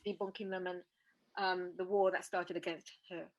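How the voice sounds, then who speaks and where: A woman's voice: on the low side, level tone, brisk, muffled recording. A woman hosting a casual discussion podcast, talking emphatically and often repeating words as she thinks. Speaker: podcast host.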